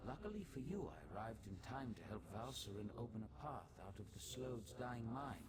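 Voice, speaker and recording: An actor playing a pedantic, verbose scholarly mage with precise speech in a fantasy video game. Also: male voice, medium pitch, very animated, normal speed, very noisy, natural voice. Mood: pedantic, pondering. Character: pedantic, cool-headed scholarly male mage.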